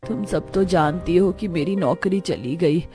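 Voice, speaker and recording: A woman's voice: lowish voice, measured pace, warm tone, faint music behind, lightly acted. A woman voicing a devoted, earnest village mother of many daughters in a cartoon story. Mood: worried.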